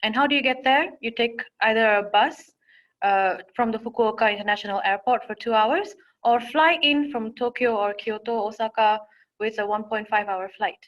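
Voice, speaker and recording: A young woman from Singapore presents admissions information in a webinar. Her voice sits a little high. She talks in a steady, informative way with small fillers and restarts. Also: normal speed. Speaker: admissions counselor.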